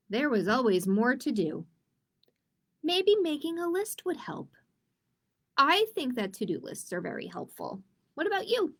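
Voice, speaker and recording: A woman hosting a children's storytime, reading picture books expressively and speaking deliberately, straight to her young listeners. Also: high-pitched. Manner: slow, deliberate, addressing young listeners directly. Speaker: children's librarian storytime host. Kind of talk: expressive picture book read-aloud for children.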